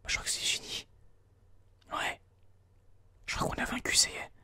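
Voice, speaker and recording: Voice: normal speed, sharp tone, no room sound. A person whispering informal, hesitant gameplay commentary in an ASMR style, thinking aloud close to a binaural microphone. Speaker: video game streamer, ASMR host.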